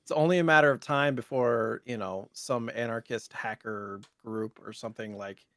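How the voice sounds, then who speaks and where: Dude cohosting a podcast, medium pitch, rambly and hesitant, says like a lot, no room echo. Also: male voice, normal speed, fairly bright tone. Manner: loose, hesitant phrasing, frequent "like". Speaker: podcast co-host. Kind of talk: casual chat.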